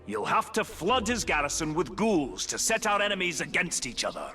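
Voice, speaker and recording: An actor playing a gruff, hearty dwarf hunter in a video game cutscene, blustering and animated. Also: male voice, high voice, normal speed, heavy background noise. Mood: hearty banter.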